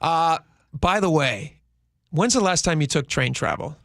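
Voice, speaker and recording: Man, radio co-host, gravelly, slightly high voice, casual joking banter, dry studio sound. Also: animated, a little slow, fairly bright tone, dry recording. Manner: chatty, joking, self-deprecating. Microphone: studio microphone.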